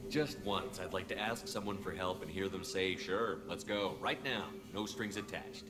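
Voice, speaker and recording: Man playing deep-voiced no-nonsense soldier hero. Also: normal speed, close to an everyday voice. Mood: level, inquiring. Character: tough, no-nonsense human male soldier commander, fair but threatening. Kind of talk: video game dialogue acting. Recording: long hall-like reverb.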